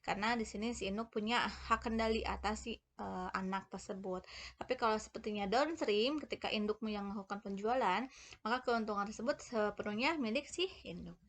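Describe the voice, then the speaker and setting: A woman giving an explanatory lecture, talking informally and haltingly as she corrects herself and works through examples. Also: medium pitch. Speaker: accounting lecturer.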